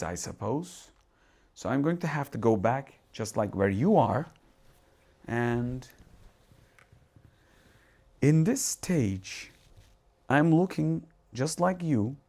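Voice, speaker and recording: A man from Turkey with a Turkish accent, a tech reviewer talking casually through settings as he changes them, thinking aloud with restarts and asides in a dark home cinema room. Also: medium pitch. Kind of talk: casual live product demo.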